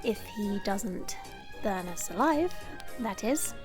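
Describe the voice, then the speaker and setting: A woman's voice: medium pitch, lively intonation, slow. A woman playing a haughty dragon princess in human form, speaking formally and imperiously in an audio drama. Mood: businesslike. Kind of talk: audio roleplay drama acting. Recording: music under the voice. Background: background music.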